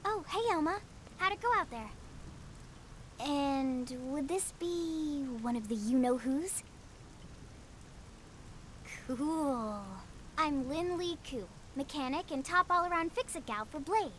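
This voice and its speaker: A woman's voice: high voice, takes it slowly, long pauses, slight background noise. A woman voicing a perky thirteen-year-old girl mechanic in a video game, breezy, eager and casual. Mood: bright, eager. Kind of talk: video game cutscene acting. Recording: loud music under the dialogue.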